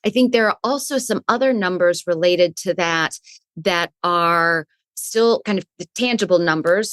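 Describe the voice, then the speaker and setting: A woman with a lowish voice talking through her ideas as an interview guest. She explains with care and circles back over her phrases as she goes. The recording is dry and close. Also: no room sound. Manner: thoughtful, restarts phrases while explaining. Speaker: resilience coach, guest. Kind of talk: conversational interview answers.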